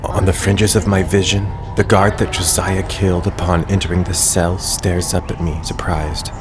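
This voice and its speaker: An actor plays a bitter young nobleman with a deep, low voice, telling his memory in grim first-person narration. A musical score plays under his voice in this audio drama. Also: flat delivery, normal speed, music in the background, natural voice. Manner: grim, seething inner narration. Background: orchestral score under the voice.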